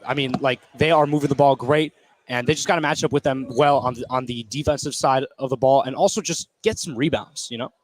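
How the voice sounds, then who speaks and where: A man's voice: medium pitch, brisk. A man doing casual, conversational color commentary on a live basketball game, with slangy reactions.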